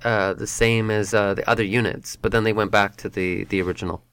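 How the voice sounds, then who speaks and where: A man's voice: on the low side, steady tone, fairly quick, a bit bright, clear, resonant tone. A man co-hosting a casual roundtable chat, who rambles and restarts his sentences as he thinks aloud. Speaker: podcast co-host.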